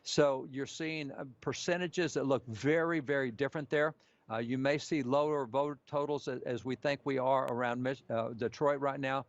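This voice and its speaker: A man's voice: medium pitch, steady tone, moderate pace, dry recording. Muffled, dry-sounding man giving election analysis. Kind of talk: live data commentary.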